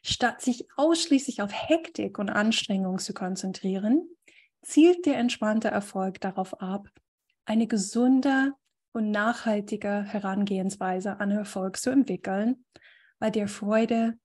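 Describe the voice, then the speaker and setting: Husky woman giving a talk on a video call. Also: mid-range voice, darkish tone. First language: German. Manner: thoughtful, many fillers, reads a definition aloud. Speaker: guest speaker and coach. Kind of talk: warm relaxed talk to a video call group.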